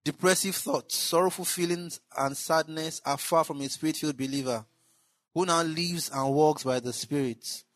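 A male preacher with a somewhat high voice. He preaches to a church congregation and often swells into shouted exclamations and repetitions. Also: normal speed, dry recording, low-quality recording. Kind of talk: sermon.

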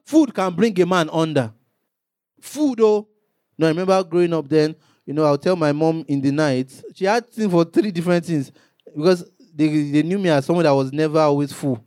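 A man's voice: fairly high pitch, moderate pace, darkish tone, no room sound, studio quality. A man, a pastor preaching a sermon, rising into emphatic bursts and acting out little quotes.

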